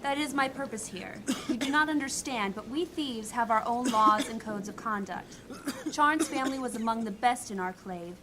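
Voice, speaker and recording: A woman's voice: a little high, normal speed, noisy background, lightly acted. A woman playing a proud, commanding thief in a fantasy audio drama, speaking with firm authority.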